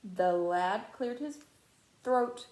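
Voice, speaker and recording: A woman teacher reading a children's storybook aloud to students in an expressive, dramatic way. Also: medium pitch, very slow, roomy sound, a little hiss or hum.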